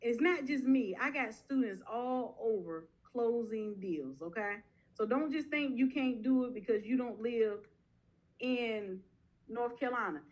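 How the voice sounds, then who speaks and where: A woman coach speaks straight to a livestream audience, punchy and direct. Her voice sits a little high, and she keeps the pitch casual and conversational. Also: a little slow, poor audio. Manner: direct, punchy, says "y'all". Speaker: guest speaker, mobile home investing coach.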